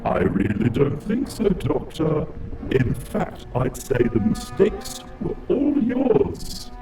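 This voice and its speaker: A man's voice: a little high, wildly swooping pitch, slow pace, echoey room, recorded from a distance, music in the background, heavily acted, poor audio. A man playing a cold, menacing alien robot commander bent on conquest in an audio drama, speaking in formal, grandiose villain style.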